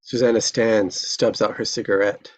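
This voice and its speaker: A narrator reading screenplay stage directions at a table read, in scripted present-tense narration with a husky voice. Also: mid-range voice, fairly even intonation, normal speed, a little hiss or hum, low-quality recording.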